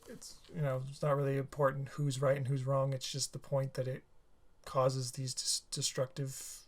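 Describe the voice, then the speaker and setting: A tired man with a slightly sore throat, talking through a reaction video in a halting, rambling way with many restarts. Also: medium pitch, completely flat delivery. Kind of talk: casual rambling commentary.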